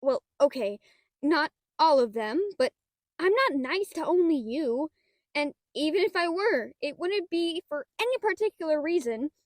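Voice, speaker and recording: A woman's voice: high voice, animated, unhurried, dry recording. A woman playing a shy, nerdy, rule-bound half-dragon librarian, flustered and rambling with dry wit in an audio roleplay. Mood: flustered, officious. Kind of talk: scripted audio roleplay addressed to the listener.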